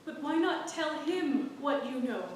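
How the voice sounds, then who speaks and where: A woman's voice: expressive, a little slow, a little mellow. A woman playing an earnest, questioning, devout wife in a period stage play, speaking sincerely and insistently. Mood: urgent, reproving. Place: theatre stage. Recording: roomy echoing stage, distant mic, rumble.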